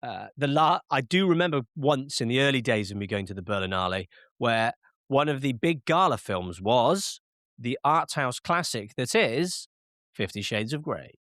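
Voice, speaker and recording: A man's voice: mid-range voice, animated, normal speed, a bit bright, dry recording, clean studio sound. A man hosting a casual film podcast, chatting with frequent fillers and restarts while sounding slightly nasal from a cold. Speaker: podcast host.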